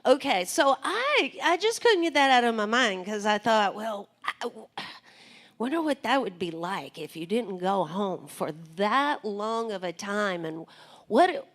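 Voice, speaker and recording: Slow, hesitant woman radio host. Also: medium pitch, sing-song, a bit bright. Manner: hesitant, searching with many ums. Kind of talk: live radio interview.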